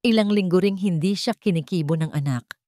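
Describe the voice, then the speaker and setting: A woman's voice: on the low side, a little fast, mellow, no room sound, studio quality. A woman reading a scripted dramatic story as its narrator.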